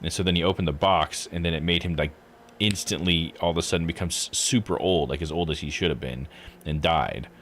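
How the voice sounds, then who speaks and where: A man's voice: sits low, brisk, a bit bright, slight background noise. A man giving casual commentary as a game streamer, rambling as he thinks aloud. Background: music.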